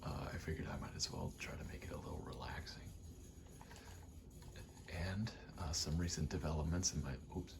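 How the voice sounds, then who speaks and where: Very deep, whispery man rambling softly. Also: moderate pace. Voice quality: breathy, often dropping into a whisper. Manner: rambling, casual, sweary, self-deprecating. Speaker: video creator rambling to camera. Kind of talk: soft-spoken ASMR ramble. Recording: clear background noise, rattling vent. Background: rattling vent noise.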